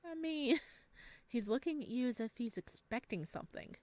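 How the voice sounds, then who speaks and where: A woman hosting a let's play, reading game text aloud and chatting to viewers with bubbly, expressive reactions. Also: fairly high pitch, normal speed, dry recording. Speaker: gaming video host.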